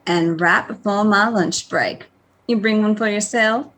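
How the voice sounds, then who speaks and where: A woman's voice: mid-range voice, measured pace, a bit bright, close to an everyday voice. An actress playing a wry, savvy female prison guard, bantering dryly in a radio play. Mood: teasing. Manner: dry, bantering.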